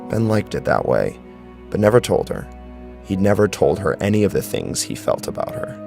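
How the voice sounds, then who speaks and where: A man's voice: low-pitched, sing-song, moderate pace, creaky, a little mellow, faint music behind. A man narrating a scripted horror story in a dramatic style.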